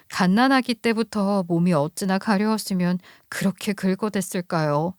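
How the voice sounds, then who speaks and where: A woman's voice: mid-range voice, darkish tone, no room sound, clean studio sound. A woman narrating a scripted first-person life story.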